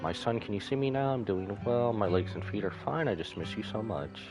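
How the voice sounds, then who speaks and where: A lonely, forgiving older mother from a game story voiced by a male streamer. She is tender and motherly, low in pitch. Clear music runs beneath the words. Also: lively intonation, a little fast, low-quality recording. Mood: tender, sorrowful. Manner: gentle, motherly. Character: lonely, forgiving elderly mother of a lost soldier. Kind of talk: game dialogue read aloud by a streamer. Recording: music behind the voice.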